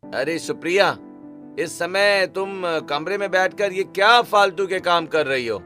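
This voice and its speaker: A man's voice: sits high, unhurried, pushed and bright, soft background music, pitched above the performer's own voice. A man voicing an irritated, dismissive husband who talks in a scornful, demanding way in a cartoon family drama. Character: irritated dismissive husband scolding his wife.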